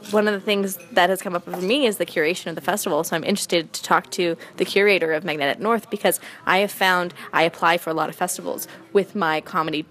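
Young woman comedian, earnest, lower voice, casual podcast guest. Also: a bit bright. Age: 20s. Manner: earnest, searching, many fillers.

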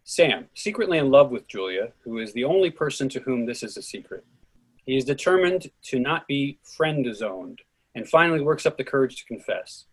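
Man, husky mid-pitched voice, scripted narration, stage directions reader. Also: big pitch swings, normal speed, rough recording.

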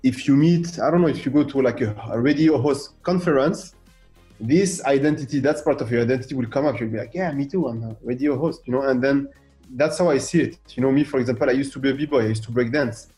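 Animated French-accented man with a mellow voice. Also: medium pitch, quick pace, faint music behind. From France. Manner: animated, fires rhetorical questions, acts out quoted voices. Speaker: guest artist. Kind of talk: radio interview.

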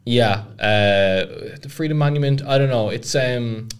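A man's voice: mid-range voice, measured pace, clear, resonant tone. A young man co-hosting a podcast, talking casually with lots of hesitations and dry humor. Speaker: podcast co-host. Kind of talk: casual chat.

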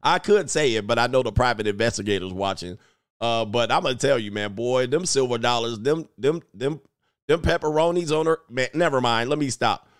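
A man's voice: mid-range voice, brisk, no room sound. Give me a man rambling through a joking reaction commentary, recorded dry. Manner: rambling, joking, trails off mid-thought. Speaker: YouTube commentator.

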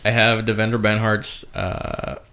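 A laid-back man talks through a casual podcast chat, his low voice carrying a gravelly rasp. He swears freely and laughs easily between thoughts. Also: little pitch movement, unhurried, pushed and bright. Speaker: podcast host. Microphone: podcast mic.

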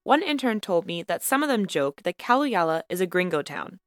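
A woman narrating a student podcast episode in a measured, lightly wry scripted style. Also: lowish voice, sing-song, dry recording, clean studio sound. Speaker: podcast host and student reporter.

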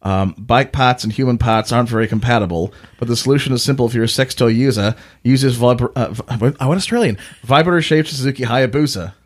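A man's voice: mid-range voice, fast talker, hardly any pauses. A man on a casual podcast, hesitant and self-deprecating as he reads aloud and drifts between accents. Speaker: podcast co-host.

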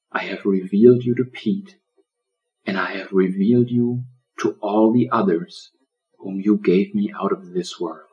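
A man voicing a gentle old lion in a storybook, solemn and loving like a shepherd, with a preaching delivery. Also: on the low side, dull audio.

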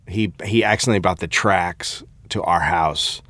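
A man's voice: low-pitched, moderate pace, sharp tone, no echo. A middle-aged American man co-hosting a comedy podcast, chatting casually, swearing freely and acting out quotes as he tells stories. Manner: profane, acts out quotes. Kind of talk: casual chat and comic storytelling.

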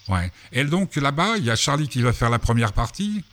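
A man's voice: mid-range voice, big pitch swings, brisk, fairly bright tone. Rambling male radio host, casual and teasing.

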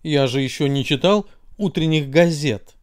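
A man's voice: a little high, moderate pace, no echo, studio quality, close to an everyday voice. A man voicing a fretful, bossy talking brain in a children's story. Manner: fretful, commanding. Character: fussy bossy brain, male, anxious.

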